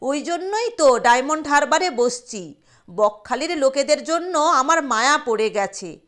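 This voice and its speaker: A woman voicing a kindly lady doctor from the city who reassures and gently instructs villagers in an audio story. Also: high voice, animated, unhurried. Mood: reassuring.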